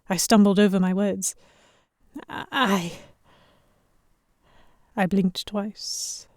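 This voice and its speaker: A sharp-tongued young lady played by a woman tells her own tale in a historical pirate audio drama. Her narration is expressive and introspective, at a medium pitch. The sound is dry and intimate, with no room on it. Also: no room sound, natural voice. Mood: startled, hesitant. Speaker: narrator and lead character.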